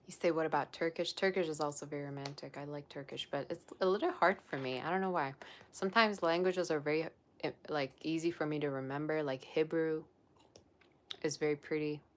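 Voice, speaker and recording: A woman's voice: sits low, a little fast. A young woman, a psychic tarot reader, chatting casually on a livestream and reading viewer comments aloud. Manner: chatty and rambling, with many "like" fillers.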